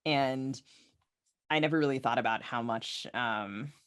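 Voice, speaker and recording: A woman with a low voice chats as a podcast host. She muses aloud in a tentative, reflective style, feeling her way toward each thought. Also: unhurried, fairly bright tone. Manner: tentative, musing. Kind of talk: reflective casual chat.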